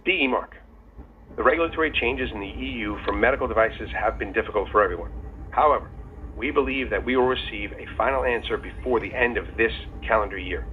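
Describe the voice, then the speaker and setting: A man's voice: fairly low pitch, quick pace, a little hiss or hum. Man reading formal remarks over tinny phone line. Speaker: company chief executive. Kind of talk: scripted earnings call presentation. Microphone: telephone conference call.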